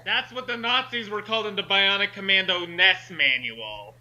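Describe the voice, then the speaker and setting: A man's voice: sits high, normal speed, pushed and bright, highs cut off. A man giving jokey, geeky asides and mock readings as a co-reader in a casual group reading. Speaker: co-reader and commentator. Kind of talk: casual joking commentary and mock read-aloud.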